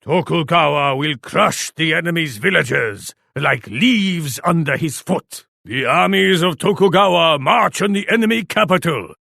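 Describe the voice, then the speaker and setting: An actor playing a proud, imperious Japanese warlord in a video game, speaking formally. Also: male voice, on the high side, animated, a little slow, vocal fry, hardly any pauses, sharp tone, no echo, clean studio sound, heavily acted. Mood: grim, resolute. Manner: imperious, formal, speaks of himself in third person. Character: proud, haughty Japanese warlord commanding his armies. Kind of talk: video game character voice lines.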